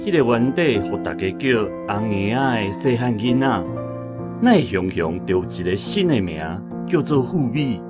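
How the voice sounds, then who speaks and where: Radio drama role, male actor: puzzled Taiwanese man reminiscing, conversational and expressive, music behind. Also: mid-range voice, moderate pace, close to an everyday voice. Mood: bemused. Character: bemused man recalling his puzzled boyhood.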